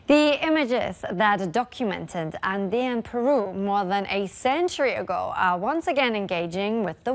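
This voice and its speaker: A female news anchor presents stories in a crisp, formal manner. Her pitch is mid-range and ordinary for a woman.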